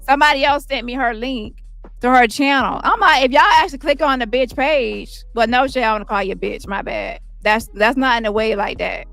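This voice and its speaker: Woman, squeaky high voice, profane roasting rant, dry close sound, faint music underneath. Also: creaky, fairly bright tone, dry recording. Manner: profane, insulting, fast roasting rants. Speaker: commentary streamer. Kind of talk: ranting commentary.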